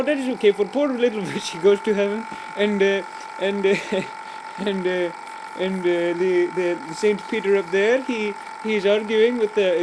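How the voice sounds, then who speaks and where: A man's voice: sits high, background noise, close to an everyday voice. A man voicing a cheerful, rambling joke-teller, broken grammar and giggly asides. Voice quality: broken grammar, many fillers and restarts, giggly. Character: rambling, cheerful adult man telling pointless jokes. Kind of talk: comic character monologue.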